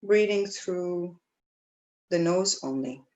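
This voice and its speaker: A woman teaching yoga, guiding breathing and meditation in a gentle, soothing way, with pauses between phrases. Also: on the low side, muffled recording. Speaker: yoga teacher leading a class. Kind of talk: guided breathwork and meditation instruction.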